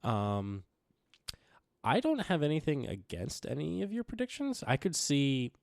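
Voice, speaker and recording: A young man co-hosting a casual sports podcast, slightly raspy from recent illness, rambling with lots of fillers and restarts. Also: mid-range voice, huge pitch swings, measured pace, no echo, clean studio sound. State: recovering from illness, a bit raspy. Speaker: podcast co-host.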